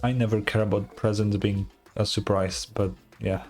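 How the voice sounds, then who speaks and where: A man's voice: fairly low pitch, fairly even intonation, moderate pace, darkish tone, faint music behind. A man giving casual live commentary as he reacts to a video game, laconic and wry.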